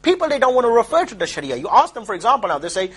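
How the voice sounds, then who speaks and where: A man giving an informal street-style lecture as a preacher, rapid and emphatic with mocking asides. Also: very high voice, big pitch swings, a bit bright, breathy edge.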